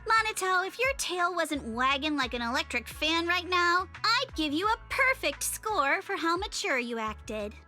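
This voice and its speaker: A high, bright, very animated game voice plays a playful young woman. She talks in a breezy, teasing way, with music behind her. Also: measured pace, hardly any pauses, heavily acted. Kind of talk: video game voice acting.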